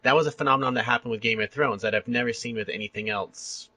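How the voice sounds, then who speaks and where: A man hosting a casual fan chat, with a gravelly edge to his bright, forward voice. He talks in an animated, rambling way, and the sound is close and dry. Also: medium pitch, fast, no room sound. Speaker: podcast interviewer.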